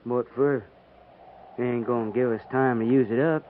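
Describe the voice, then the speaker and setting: An actor playing a bitter, grumbling cavalry private with a rural American accent and a folksy drawl, in a 1950s radio western. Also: male voice, medium pitch, fairly even intonation, normal speed, natural voice. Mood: bitter, griping. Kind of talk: 1950s radio drama acting. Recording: tinny, band-limited, noisy 1950s radio recording.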